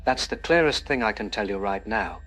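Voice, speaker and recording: A man's voice: fairly low pitch, animated, brisk, fairly bright tone. A man playing a cautious, sober doctor interviewed as an expert in a scripted news broadcast. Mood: firm warning. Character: cautious, sober male doctor advising the public.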